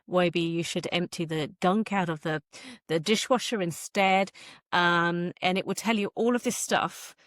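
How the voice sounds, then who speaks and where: Lowish-voiced woman, dry close sound. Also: unhurried, a bit bright, no echo. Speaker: author, podcast guest. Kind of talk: casual interview chat.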